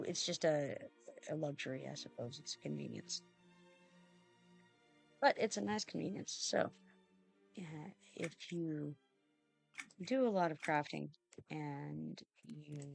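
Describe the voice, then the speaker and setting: A streamer giving relaxed, halting commentary over gameplay, stuffy and sniffling from allergies, with lots of fillers and restarts. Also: medium pitch, vocal fry. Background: loud background music.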